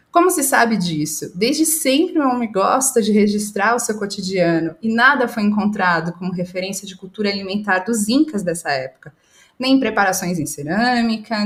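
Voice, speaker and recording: A woman researcher and cook explaining the history of a food in a storytelling way. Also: fairly high pitch. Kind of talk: conversational explanation.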